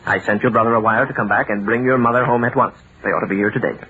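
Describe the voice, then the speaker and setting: A man's voice: lowish voice, fairly even intonation, slight background noise, natural voice. Man playing exasperated lawyer, dull old recording. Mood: skeptical. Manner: impatient, incredulous, brisk reasoning. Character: exasperated, skeptical defense lawyer. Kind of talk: old-time radio drama acting.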